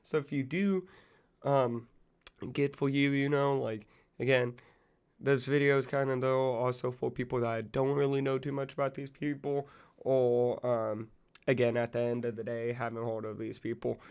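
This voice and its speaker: Young man in his twenties, a little worn-down voice from being sick, loose rambling talk, monotone delivery, smooth low rasp. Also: moderate pace. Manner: rambling, loose, full of fillers and profanity. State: recovering from illness, losing his voice. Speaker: music channel host. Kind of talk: casual music commentary.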